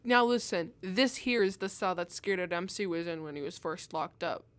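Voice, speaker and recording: A woman voicing a hard-boiled male police detective in an old-time radio drama, gruff, mocking and bullying. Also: on the low side, expressive, moderate pace, lightly acted.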